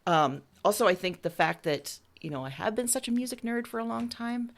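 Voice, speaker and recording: A woman's voice: medium pitch, level tone, brisk. A woman chatting casually as an interview guest, talking about her creative work in a candid, enthusiastic way. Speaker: writer and musician being interviewed.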